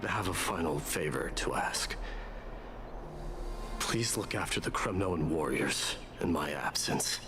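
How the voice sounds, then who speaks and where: A man voicing a stoic, proud warrior prince in a video game, speaking in a solemn, formal and measured way. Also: rumbling low, animated, full character voice. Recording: music behind the voice.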